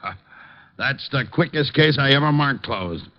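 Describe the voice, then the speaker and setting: A man playing an overworked, irritable police lieutenant with a gruff, sarcastic manner in 1940s radio style. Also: mid-range voice, lively intonation, normal speed, lightly acted. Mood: irritated. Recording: dull lo-fi old radio recording.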